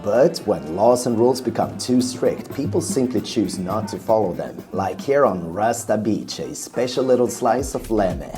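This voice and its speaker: A man from Sweden narrates his travel vlog in a light, upbeat voice-over. His tone is mellow, with faint music under it. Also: lowish voice, expressive, a little slow, hardly any pauses.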